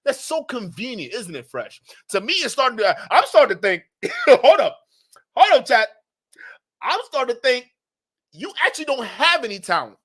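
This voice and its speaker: A man's voice: extremely high pitch, very animated, normal speed, a bit bright, husky. A man from Nigeria with a slight Nigerian accent, hosting a live-stream commentary, talking to his chat in a mocking way, laughing often and restarting his sentences.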